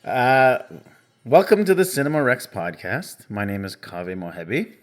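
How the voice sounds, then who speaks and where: A young man from Canada co-hosting a podcast in casual chat, relaxed and rambling with many fillers. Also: medium pitch, normal speed, soft background music. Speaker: podcast co-host.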